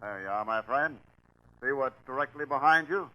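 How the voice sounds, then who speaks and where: A man's voice: mid-range voice, moderate pace, dry recording, highs cut off, close to an everyday voice. An American man playing a tough, sardonic police sergeant, clipped and commanding, in a 1940s radio crime drama. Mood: barking, forceful. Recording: vintage 1940s radio broadcast.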